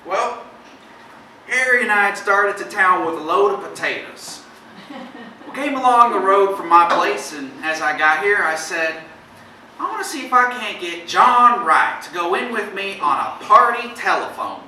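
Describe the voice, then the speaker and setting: Man playing folksy farmer, swooping pitch, echoey stage. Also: lively intonation, sharp tone, heavily acted. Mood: earnest, rambling. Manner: folksy, halting storytelling with dramatized quotes. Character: plain-spoken neighboring farmer recounting a shocking visit. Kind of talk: amateur stage play acting. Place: theatre stage, indoors. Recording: echoey stage from far off, faint noise.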